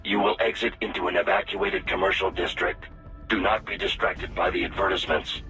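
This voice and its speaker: An actor voicing a coolly logical artificial companion in a video game, formal, precise and deadpan. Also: male voice, low voice, brisk, distant mic, soft background music, low-quality recording. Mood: formal, detached. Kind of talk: video game radio-call dialogue.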